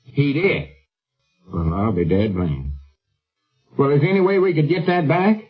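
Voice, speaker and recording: An actor playing an earnest, cautious backwoods storekeeper with a rural Southern US accent in 1940s radio comedy, folksy and deliberate. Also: male voice, medium pitch, expressive, slightly rough, soft background music. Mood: dismayed. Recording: muffled old radio broadcast recording.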